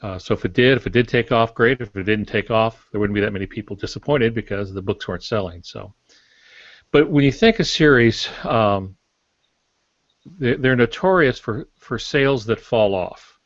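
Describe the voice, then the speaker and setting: An older man with a fairly low voice chats as a novelist on a podcast. His delivery is halting and anecdotal, with a matter-of-fact tone. The sound is dry and somewhat dull and lo-fi. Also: no room sound. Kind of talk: casual podcast discussion about writing.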